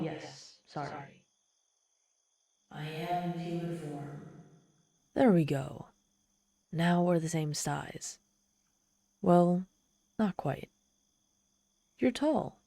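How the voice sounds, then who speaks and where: Woman voicing a mellow, haughty ancient demon woman. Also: on the low side, breathy edge, dry recording, exaggerated voice. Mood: relieved, smug. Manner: haughty, theatrical, softening into shy vulnerability. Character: ancient proud tsundere demon woman, lonely, haughty, teasing. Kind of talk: ASMR audio roleplay, addressing the listener.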